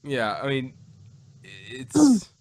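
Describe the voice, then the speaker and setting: A man's voice: mid-range voice, wildly swooping pitch, extremely slow, vocal fry, darkish tone. A man chatting casually as co-host of a review show, rambling and repeating his phrases as he goes. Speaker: podcast co-host reviewing audio dramas. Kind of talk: casual discussion. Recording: noticeable background noise.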